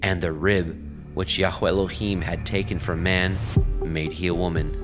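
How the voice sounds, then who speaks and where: Deep-voiced male scripture narrator. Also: normal speed. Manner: steady, reverent reading. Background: background music.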